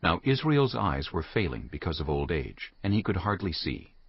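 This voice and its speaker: A man narrates scripture in a low, husky voice. The reading is scripted, and the sound is close, dry and a little dull. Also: sing-song, moderate pace, no echo. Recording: muffled lo-fi audio.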